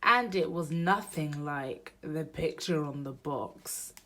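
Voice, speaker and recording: A woman reading a children's picture book aloud in an expressive storytelling manner meant for young listeners. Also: deep voice, takes it slowly. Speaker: storybook reader.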